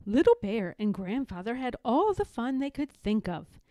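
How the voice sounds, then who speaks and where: A woman reading a children's storybook aloud as an expressive narrator. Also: fairly high pitch, moderate pace, dry recording, clean studio sound. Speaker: storybook reader.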